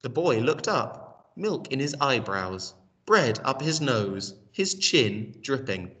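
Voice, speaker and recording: A man reading a children's story aloud in an expressive storytelling style. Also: medium pitch, takes it slowly, distant mic. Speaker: storytelling reader.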